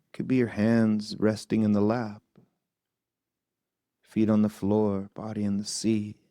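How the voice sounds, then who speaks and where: A man with a low, mellow voice guides a meditation in a soft, gentle manner. He speaks very slowly and keeps his pitch very even, leaving long pauses between short phrases. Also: no echo, clean studio sound. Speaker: meditation teacher.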